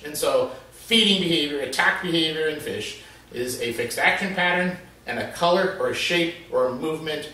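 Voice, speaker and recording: A man's voice: on the high side, sharp tone. Middle-aged man explaining, in a very echoey space. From Florida Keys, USA. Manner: measured, explanatory, with frequent "uh" fillers. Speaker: animal behaviorist. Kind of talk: explanatory video lesson. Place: covered porch with wooden wall. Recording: very echoey, slightly distant.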